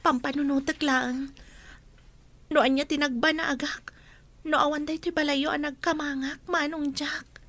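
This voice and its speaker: An actress plays a humble young woman with a high voice. She is gentle, polite and earnest, with a guarded edge, in an Ilocano radio-style drama. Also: level tone, unhurried, long pauses. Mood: pensive, troubled. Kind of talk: Ilocano audio drama acting.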